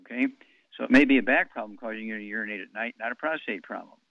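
Brisk fast-talking male radio host. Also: fairly low pitch, creaky, no echo, narrow, tinny audio. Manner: brisk, blunt, wisecracking, rattling off lists. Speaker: radio health show host. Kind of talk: call-in advice show.

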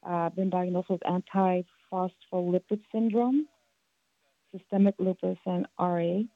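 Gravelly woman in her sixties on a phone line. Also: fairly low pitch, fairly even intonation, a little slow, dry recording. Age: 60s. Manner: polite, chuckles between answers. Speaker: caller. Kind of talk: call-in question on air.

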